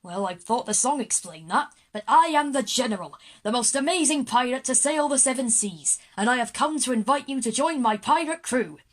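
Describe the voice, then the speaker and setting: A woman's voice: on the high side, expressive, normal speed, hardly any pauses. A woman playing an egotistical, bossy male pirate general in a kids' audio drama, with a pompous, theatrical manner. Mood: boastful.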